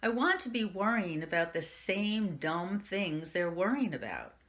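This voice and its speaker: A woman's voice: on the low side, very animated, measured pace, a bit bright. A woman librarian reading a young adult novel aloud in the first person, lifting her voice for the quoted lines. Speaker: youth services librarian.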